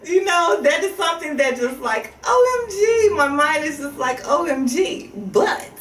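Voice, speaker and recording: Slow, higher-voiced American woman radio host. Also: sing-song, fairly bright tone. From Chicago, United States. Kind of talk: casual motivational radio talk. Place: small radio studio. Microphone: studio microphone on desk. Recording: roomy, distant mic, faint background noise.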